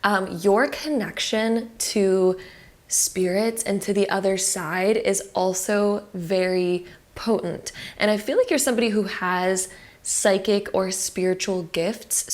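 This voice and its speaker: A woman giving a pick-a-card tarot reading to her viewers, soft and affirming, her voice a little cracked from having just woken up. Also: mid-range voice. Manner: soft, affirming and spiritual, full of fillers. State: voice cracking after just waking.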